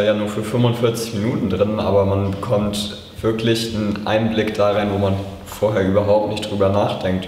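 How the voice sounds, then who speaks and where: A twenty-year-old man from Germany answering interview questions thoughtfully, pausing on fillers. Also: deep voice, a little mellow, slight background noise. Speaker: camp participant. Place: indoor room against a bare wall. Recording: echoey room with long reverb.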